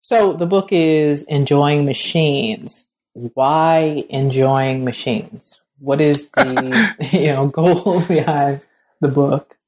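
A woman's voice: low-pitched, takes it slowly, darkish tone. A woman hosting a podcast interview, asking questions with frequent laughs. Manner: laughs often, friendly questioning. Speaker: podcast host.

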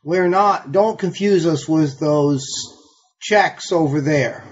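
A man's voice: a little high, slow, husky, dull audio. A man interviewing a scholar, thinking aloud in a tentative, roundabout way as he builds his questions. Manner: tentative, thoughtful, roundabout phrasing. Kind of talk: reflective academic interview questioning.

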